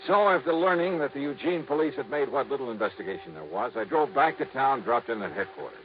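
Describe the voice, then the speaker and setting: An actor playing a wry, punchy hardboiled insurance investigator, in 1950s radio drama style. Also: male voice, mid-range voice, animated, fast, lightly acted. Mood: narrating crisply. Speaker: lead and narrator. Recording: muffled, noisy old radio recording.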